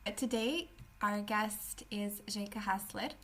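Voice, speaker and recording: A woman's voice: mid-range voice, measured pace, fairly bright tone. A woman hosting a podcast, reading a scripted guest introduction with occasional fillers. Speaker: podcast host.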